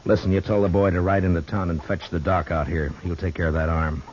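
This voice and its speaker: An actor playing a stern, weary American marshal in a 1950s radio western, terse and grim in dialogue and narration. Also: male voice, low voice, little pitch movement, fast talker, darkish tone, slight background noise, natural voice. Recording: muffled vintage radio recording with music.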